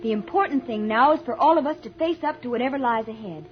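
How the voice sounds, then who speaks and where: Woman acting as plucky young woman, high, earnest, steady, radio serial, dull recording. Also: fast talker, faint music behind, lightly acted. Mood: reassuring. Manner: earnest, composed. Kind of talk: 1950s radio drama acting.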